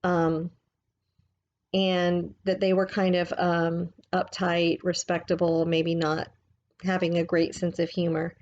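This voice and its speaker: A woman reading a book aloud like a teacher, in a gentle, measured, explanatory way. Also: fairly low pitch, monotone, lo-fi sound, slight background noise.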